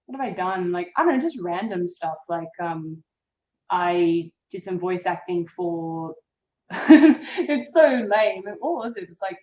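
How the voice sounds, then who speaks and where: Give me a young woman from Australia with a deeper voice, talking softly over a roomy, muffled video call. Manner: soft-spoken, many fillers and restarts. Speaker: guest actress. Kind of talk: casual video-call chat. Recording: roomy, dull lo-fi call audio.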